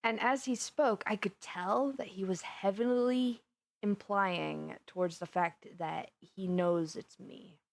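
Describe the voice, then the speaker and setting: A flustered young villainess in an audio roleplay, acted by a woman, spilling gossip to her best friend. She is giddy and chatty, rambling along in an animated, confiding way at a middling feminine pitch. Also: slow pace, creaky. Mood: animated recounting, then confessional, softer. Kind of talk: conversational audio roleplay monologue.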